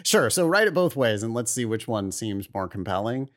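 A man's voice: mid-range voice, very animated, moderate pace. A man co-hosting an advice podcast, talking casually and giving blunt, opinionated takes. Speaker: podcast host.

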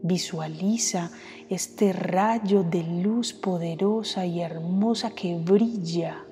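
A woman guides a meditation in a soft, mellow voice. She speaks very slowly and gently, leaving space between phrases. Her voice carries a long reverb, with music playing underneath. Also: lowish voice. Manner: unhurried, gentle, with long pauses. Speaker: meditation guide, podcast host. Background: background music.

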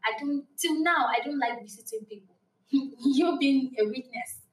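Young Nigerian woman, Yoruba first language; animated casual co-host, frequent laughter, recorded from a distance in a roomy space. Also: high voice, normal speed. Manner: animated, laughs often, many fillers. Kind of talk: casual chat. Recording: roomy sound, distant mic.

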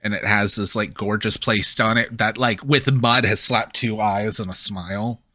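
A man's voice: fairly low pitch, steady tone, normal speed, sharp tone, no room sound, studio quality. A man narrating a tabletop game casually as game master.

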